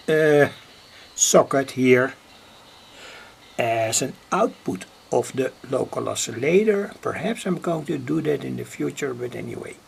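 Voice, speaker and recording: Slow, gravelly Dutch-accented man. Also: a little high. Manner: halting, deliberate, pausing mid-sentence. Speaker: electronics hobbyist presenter. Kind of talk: unscripted demonstration of a homemade device.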